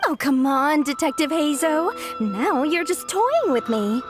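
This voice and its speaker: Game role: coy, theatrical young female swindler, high and very animated, teasing and pouty. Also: a little slow, over music. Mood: self-pitying, pouting. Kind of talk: video game voice acting.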